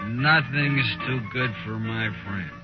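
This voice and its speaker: A man's voice: medium pitch, takes it slowly, lightly acted. An American man acting a boastful, menacing Old West drifter with a folksy drawl in an old-time radio western. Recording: muffled old radio recording, music behind.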